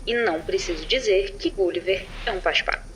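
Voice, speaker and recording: A woman's voice: mid-range voice, a little fast, fairly bright tone, slight background noise, natural voice, poor audio. A Brazilian woman playing a sarcastic, irritable chief space patroller, recording an informal mission log for an audio drama. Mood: sardonic, annoyed. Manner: informal, sardonic, foul-mouthed.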